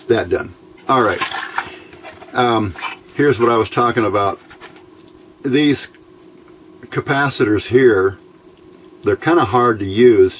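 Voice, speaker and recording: A man giving an informal hands-on electronics tutorial, talking haltingly as he thinks aloud. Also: fairly low pitch, a little hiss or hum, low-quality recording. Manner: halting, thinking aloud while handling parts. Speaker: electronics hobbyist presenter.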